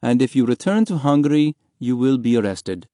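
A man's voice: mid-range voice, lively intonation, a little fast, warm tone, dry recording, clean studio sound, natural voice. A man voicing an indifferent, curt policeman doing his paperwork in an audiobook story.

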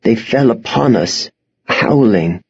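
A frail, genteel old woman from an audiobook, fearful and full of grief, played by a male narrator. The speech is slow, formal and halting. The recording is a little muffled. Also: mid-range voice, expressive, gravelly, darkish tone, husky, full character voice. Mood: haunted. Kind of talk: audiobook character acting.

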